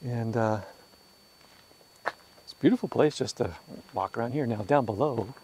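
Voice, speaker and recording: A man's voice: medium pitch, a little slow. A man vlogging a road trip, chatting casually as he drives a mountain road and then walks his dog outdoors. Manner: relaxed, rambling commentary with fillers. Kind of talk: casual narration while driving and walking. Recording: noisy car and outdoor recording. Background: car and road noise.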